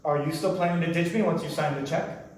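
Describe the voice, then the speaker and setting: A man's voice: on the high side, quick pace, close to an everyday voice. An actor playing an aloof, cynical, mysterious man on a date, terse and matter-of-fact, in a staged play reading. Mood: reserved, curious. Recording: distant mic in roomy echo, hissy noise.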